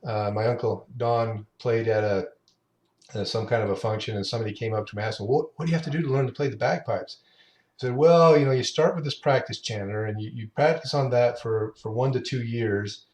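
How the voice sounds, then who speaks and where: A man in his late fifties chatting as a podcast guest, talking unhurriedly with halting restarts. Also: medium pitch, a little mellow. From Utah. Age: 50s. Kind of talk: casual interview chat. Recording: roomy echo.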